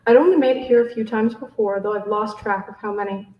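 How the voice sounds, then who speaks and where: A woman's voice: mid-range voice, fast. A young woman, a contest winner speaking and reading her story at a live event. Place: library event room with audience. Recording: dull, noisy, echoey distant room sound.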